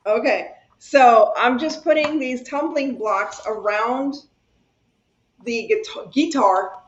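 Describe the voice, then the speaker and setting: A woman's voice: a little high, slow pace. A woman hosting a casual craft chat, talkative and rambling, laughing easily as she works. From Cuba. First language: Spanish. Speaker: craft channel host. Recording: echoey room, dull lo-fi sound.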